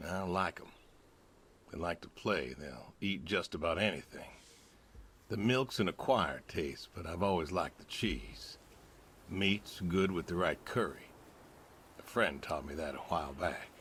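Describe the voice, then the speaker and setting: An actor playing a gentle, plainspoken older farmer in a video game, with a laconic, dry manner. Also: male voice, deep voice, animated, a little slow, slight background noise. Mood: dry, matter-of-fact. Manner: laconic, dry, folksy, understated.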